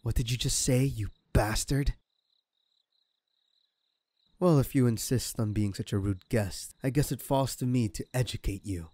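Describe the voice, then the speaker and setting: A man playing a sadistic crime boss in an audio roleplay, taunting a captive with slow, mocking menace. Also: medium pitch, darkish tone, no room sound, natural voice. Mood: gloating menace. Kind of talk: ASMR audio roleplay acting.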